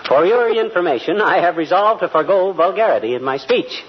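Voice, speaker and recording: An actor playing a blustery, cocky middle-aged husband with folksy, mock-pompous talk in a 1940s radio comedy. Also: male voice, high voice, lively intonation, brisk, breathy edge. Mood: smug. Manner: folksy slang mixed with mock-pompous wordiness. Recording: muffled vintage radio recording, noisy, studio audience.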